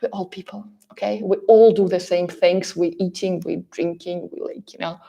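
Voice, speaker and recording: A woman's voice: on the low side, fairly even intonation, normal speed, vocal fry, warm tone. A woman from Russia with a Russian accent gives a motivational coaching talk, speaking emphatically and directly to her listeners with frequent repetition for stress. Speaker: relocation coach and course host.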